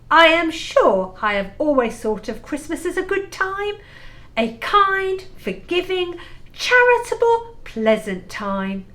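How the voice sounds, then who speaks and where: A woman's voice: high voice, fairly bright tone, heavily acted. A woman playing a cheerful, kind-hearted young nephew in an audio play, earnest and good-humoured. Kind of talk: amateur audio play acting.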